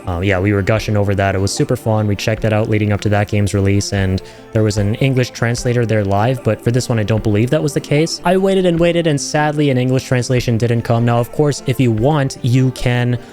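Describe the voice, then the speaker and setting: A man's voice: mid-range voice, fairly even intonation, brisk, a little mellow. Chatty enthusiastic man with music behind. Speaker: streamer and video host reacting to game trailers. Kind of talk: excited casual commentary to viewers.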